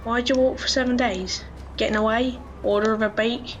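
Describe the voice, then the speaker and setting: A woman's voice: on the high side, fairly even intonation, normal speed, a little hiss or hum, natural voice. A woman playing a cheeky, streetwise young pickpocket boy with a London accent in an audio drama, cocky and friendly. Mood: cheeky, knowing.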